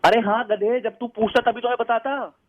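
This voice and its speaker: A man's voice: very high-pitched, steady tone, moderate pace, lo-fi sound, noisy background. A man speaking bluntly and informally in a phone conversation. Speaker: prank call victim.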